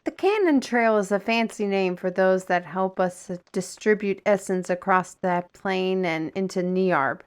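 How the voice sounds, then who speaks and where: A woman's voice: mid-range voice, a little slow, few pauses, clear, resonant tone, lightly acted. A woman acts as a businesslike fantasy courier with a mid-range voice. She is wry and dry, explaining things as in a scripted audio adventure. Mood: matter-of-fact explaining. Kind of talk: fantasy audio drama acting, expository.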